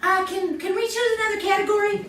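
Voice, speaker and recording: A woman acting as a sweet, eager wife in an old-time radio comedy quiz. Her voice is exceptionally high and perky, heard at a distance from the mic with plenty of room echo. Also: fairly even intonation, quick pace, fairly bright tone. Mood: anxious, encouraging. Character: perky, doting wife and dance instructor contestant. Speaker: game show contestant. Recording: echoey hall, distant mic.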